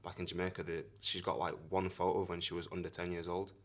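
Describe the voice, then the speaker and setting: A man's voice: low voice, level tone, fast talker. A young man with a Yorkshire accent, chatting as an interview guest in a laid-back, rambling way. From Sheffield, England. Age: young adult. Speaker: guest athlete. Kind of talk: casual interview chat.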